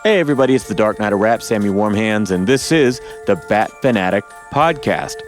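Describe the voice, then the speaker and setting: Upbeat, slightly hoarse male podcast host. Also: fairly low pitch, expressive, a little fast, faint music behind. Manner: upbeat, jokey. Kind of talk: casual podcast chat.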